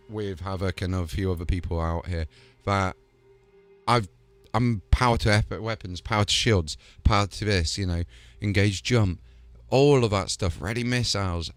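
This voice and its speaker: A man hosting a gaming video in casual, excited chat that rambles along with lots of fillers. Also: on the low side, normal speed, dry recording. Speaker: host.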